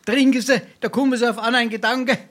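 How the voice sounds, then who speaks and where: Brazen sponger and tippler for dialect comedy; Darmstadt accent, florid, theatrical, silver-tongued. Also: male voice, very high-pitched, fairly even intonation, fast, a bit bright. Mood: chummy, tipsy. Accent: South Hessian, Darmstadt dialect. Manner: florid mock-pompous speech, theatrical sighs. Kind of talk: dialect stage comedy acting.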